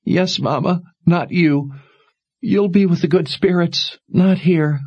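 Man doing a grief-stricken young woman, high wailing pleas, husky, close dry sound. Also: little pitch movement, unhurried, dry recording, muffled recording. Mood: tearful.

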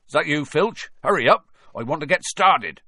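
A man's voice: on the low side, big pitch swings, normal speed, fairly bright tone, dry recording, full character voice. A man reading an audiobook aloud, voicing a spiteful, gleeful old male caretaker with a sneering manner. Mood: impatient. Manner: sneering, voice cracking with glee.

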